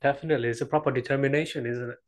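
A woman hosting an interview podcast, her voice sitting unusually deep. She keeps the guest going with short, supportive replies and follow-up questions. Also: steady tone, rapid-fire, background noise. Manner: supportive, many short backchannels. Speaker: podcast host. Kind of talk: conversational interview.

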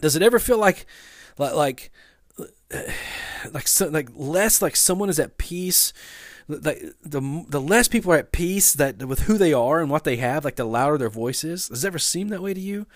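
A man, a father of young sons, giving a conversational sermon that opens with a personal story. He talks in a loose, halting way, with many restarts and fillers. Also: fairly high pitch, animated, no echo, studio quality. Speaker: pastor.

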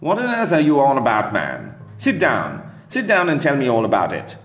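A man's voice: medium pitch, huge pitch swings, moderate pace, a bit bright, roomy sound, voice pushed up. A man reading aloud voices a pompous, portly older British colonial official, hearty and commanding, with faint guitar music behind. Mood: brusque.

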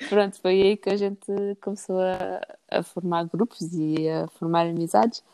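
A woman's voice: fairly low pitch, little pitch movement, unhurried, dark tone. A woman with a European Portuguese accent giving casual, conversational answers as a podcast guest.

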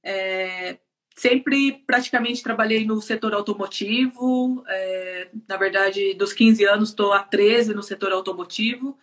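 A woman's voice: medium pitch, a little slow, fairly bright tone. A woman in her thirties from São Paulo state in Brazil, with a Brazilian accent, chatting as a guest on a live interview, laughing often and using many fillers. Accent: Brazilian Portuguese, São Paulo state. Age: 30s. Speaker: guest, production engineer.

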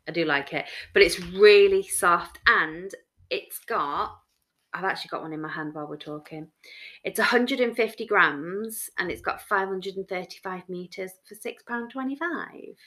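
A woman co-hosting a casual crafting podcast, chatty and excitable, often laughing. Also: on the low side, unhurried. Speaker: podcast co-host. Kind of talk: casual chat.

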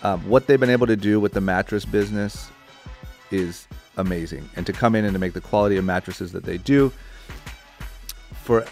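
Male podcast host, low-pitched, eager and rambling, casual. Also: fairly quick, a little mellow, faint music behind. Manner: eager, rambling, many fillers and restarts. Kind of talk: casual interview chat.

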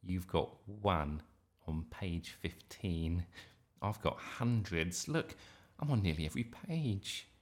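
A man's voice: deep voice, animated, slow pace, a little mellow. A man voicing a smug, whining know-all schoolboy rival in a children's audiobook, gloating as he talks. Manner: whiny, gloating.

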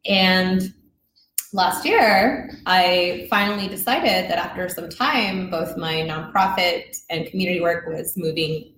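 Low-voiced woman in an echoey room. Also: slow pace, a bit bright. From Mexico. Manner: earnest, storytelling, laughs easily. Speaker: podcast guest. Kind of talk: casual interview chat. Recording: echoey room with faint noise.

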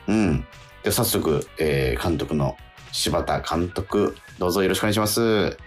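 A man's voice: low voice, normal speed, soft background music. A man hosting a radio talk show in a studio, chatting casually with many fillers and easy nods.

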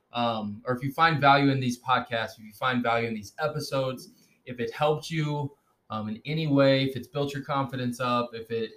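A man's voice: medium pitch, a bit bright, low-quality recording. A young man hosting a casual podcast, upbeat and joking, with plenty of fillers. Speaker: podcast host.

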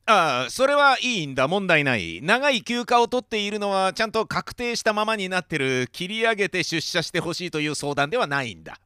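A bright, forceful voice that swings widely in pitch. A man acts out a clueless office manager in a comic radio drama, pompous and painfully earnest, apologizing with halting gravity. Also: animated, clean tone, dry recording, clean studio sound. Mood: serious. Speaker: actor in an audio drama. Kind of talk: comedic radio drama acting.

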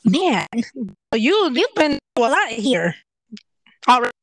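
A woman hosting an interview podcast who talks slowly and unhurriedly. She often reacts to her guest with wows and laughter and uses many fillers. Her voice sounds dry and close. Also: high-pitched, very animated, fairly bright tone, no room sound. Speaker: podcast host. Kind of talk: casual interview talk.

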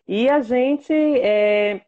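Guest on a live, impassioned, repeats for emphasis, medium pitch, dull call audio. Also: extremely slow, darkish tone. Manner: impassioned, repeats phrases for emphasis, many fillers. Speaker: guest, works at a state legislative assembly. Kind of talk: casual online conversation.

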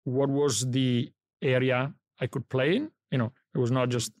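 A man's voice: mid-range voice, fairly even intonation, moderate pace, a little mellow, no echo. A middle-aged man from Italy with an Italian accent, a podcast guest in a studio recounting his career in a reflective, think-aloud way. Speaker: guest, company founder. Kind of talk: podcast interview.

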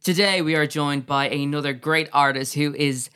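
A man's voice: fairly high pitch, fairly even intonation, normal speed, bright, forceful tone, clear, resonant tone, no echo, clean studio sound. An Irish man co-hosting a podcast, chatting casually with many fillers and frequent laughs. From Ireland. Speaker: co-host.

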